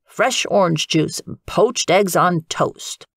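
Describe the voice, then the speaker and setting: A woman's voice: on the low side, slow pace, gravelly, no room sound, studio quality, exaggerated voice. Woman voicing a hearty father badger. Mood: pleased. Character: genial father badger, pleased and steady. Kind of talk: storybook character voice.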